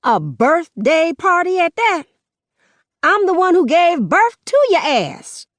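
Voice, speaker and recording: A woman putting on a furious, shouting mother. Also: extremely high pitch, sing-song, takes it slowly, dry recording, pitched above the performer's own voice, exaggerated voice. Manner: shouting, swearing, cutting.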